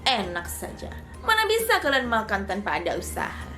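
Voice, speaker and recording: A woman's voice: mid-range voice, huge pitch swings, moderate pace, bright, forceful tone, faint music behind. A woman voicing a sly, boastful trickster mouse deer in a children's storybook, sweet-talking with feigned innocence. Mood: gloating.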